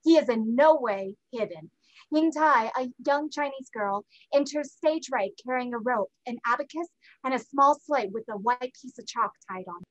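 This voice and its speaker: Higher-voiced woman reading stage directions. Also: normal speed, dry recording, highs cut off. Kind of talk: scripted narration, staged play reading. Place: home room, video call.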